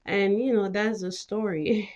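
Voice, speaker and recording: A woman's voice: medium pitch, fairly even intonation, unhurried, a little mellow, dull audio. A middle-aged woman from Cameroon, talking informally as an interview guest with plenty of conversational filler phrases. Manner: informal, peppers talk with "you know". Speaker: guest, mental health nurse practitioner.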